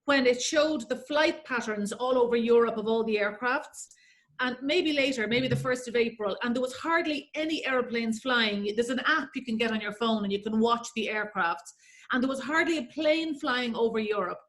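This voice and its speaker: An Irish woman with a fairly high voice, hosting a casual interview over a video call. She gushes with praise and runs her sentences together at length. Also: moderate pace, a bit bright. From Ireland. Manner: effusive, gushing with praise, long run-on sentences. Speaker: host.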